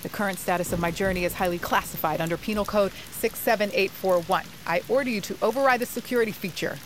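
A woman's voice: mid-range voice, fast, slight background noise, close to an everyday voice. A woman playing an authoritative, curt female agent in an audio drama, speaking in a matter-of-fact, commanding way. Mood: impatient, commanding. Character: brisk, authoritative female federal agent on a secret mission. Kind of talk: audio fiction acting.

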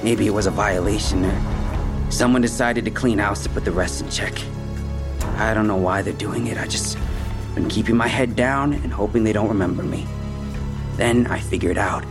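A man's voice: fairly low pitch, moderate pace, lightly acted. An actor in an audio drama playing a defensive young male street robber, talking in clipped slang. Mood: nervous, defensive. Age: young adult. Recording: music bed behind the voice.

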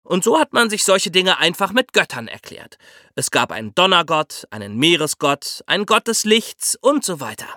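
A man's voice: high voice, sing-song, normal speed, pushed and bright, no echo, studio quality. A man co-hosting a history podcast, explaining things in a colloquial, storytelling way.

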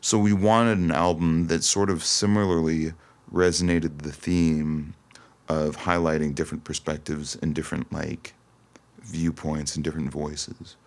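A man's voice: rumbling low, level tone, measured pace. A man from Toronto, Canada, talking casually and reflectively as a musician guest in an interview, often hesitating. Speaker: singer-songwriter guest.

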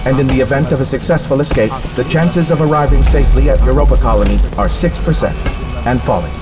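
A cold artificial intelligence running a spaceship , played by a man, with a mid-pitched male voice. It delivers clipped, clinical statements with formal detachment, in a science fiction audio drama over a music bed. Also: quick pace, close to an everyday voice. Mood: impassive. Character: cold, impassive male ship's computer AI. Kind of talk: scripted audio drama acting.

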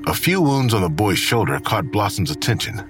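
Deep, expressive male narrator. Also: brisk, over music. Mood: somber narration. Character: omniscient male narrator of a grim crime story. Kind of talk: scripted audio drama narration.

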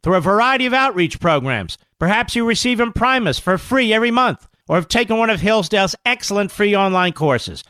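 Male ad announcer, high-pitched, measured earnest read, dry booth. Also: animated, pushed and bright, smooth, no room sound. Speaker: advertisement announcer. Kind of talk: scripted radio ad read.

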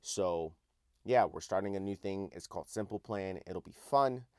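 Male podcast host, deep and raspy, a bit hoarse; earnest, chatty solo delivery, dry close mic. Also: moderate pace, dry recording. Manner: earnest, conversational, asks listeners direct questions. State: hoarse after a night out.

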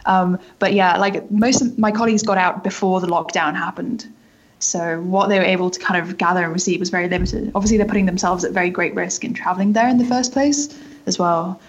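A woman's voice: on the low side, steady tone, fairly quick, muffled recording. A woman reporter giving conversational interview answers in an informal way, often saying "like" and "kind of". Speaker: newspaper reporter being interviewed.

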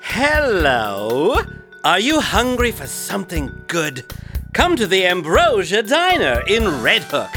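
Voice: mid-range voice, huge pitch swings, slow, music in the background. An announcer reading a scripted radio advert for a diner.

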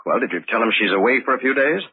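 An actor playing a guilty, paranoid husband in an old-time radio drama, outwardly polite but unraveling. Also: male voice, mid-range voice, lively intonation, rapid-fire, slightly rough, no room sound. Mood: guarded. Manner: agitated muttering to himself, swinging into cries. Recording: dull, noisy old radio recording.

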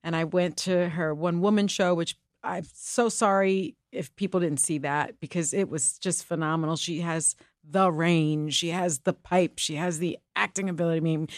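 A middle-aged woman whose first language is Spanish speaks in a candid, animated way, leaning hard on key words. Her voice sits a little low. The recording is close and dry, with no room sound. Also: normal speed, hardly any pauses, no echo. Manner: animated, emphatic, with laughs and restarts. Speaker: co-host and guest. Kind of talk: candid conversational interview.

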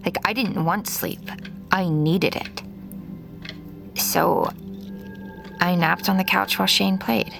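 A woman's voice: fairly low pitch, steady tone, slow, creaky, pauses often, full character voice. A woman playing a troubled mother, hesitantly recounting a haunting memory in an audio drama. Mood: haunted, reflective. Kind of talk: confessional first-person narration in audio fiction. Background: music.

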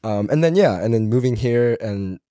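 A man from Atlanta, a podcast guest talking in a quick, upbeat, playful way. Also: medium pitch, lively intonation, mellow, dry recording, clean studio sound. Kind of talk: casual chat.

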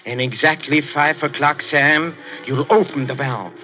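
An actor playing a soft-spoken, scheming maestro plotting a murder, in 1940s radio acting. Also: male voice, fairly high pitch. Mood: menacing. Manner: soft, insinuating, slowly building to gloating menace. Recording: muffled 1940s radio recording with music.

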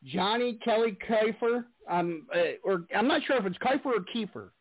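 A man's voice: high voice, lively intonation, moderate pace. Interview host, male, rambling questions, tinny phone-line quality. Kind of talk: casual interview.

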